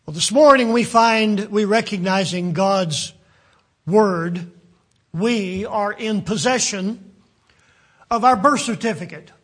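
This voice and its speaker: An older male preacher with a West Virginian accent and a high, husky voice. He talks haltingly, stopping often to search for words. His delivery is unhurried and sincere. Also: a bit bright. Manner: halting, many fillers and restarts. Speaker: pastor. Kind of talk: sermon.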